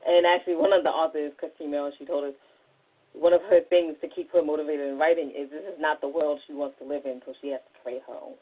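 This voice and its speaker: A woman's voice: low voice, steady tone, fast, husky, narrow, tinny audio. A woman chatting casually and animatedly, slipping into slangy acted-out dialogue with friends. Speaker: podcast host. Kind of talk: casual group chat.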